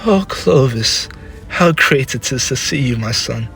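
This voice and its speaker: A man's voice: mid-range voice, animated, measured pace, vocal fry, a bit bright, soft background music. Man playing a reproachful, emotional mother. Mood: fond. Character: anguished, scolding, sickly elderly mother of a warrior.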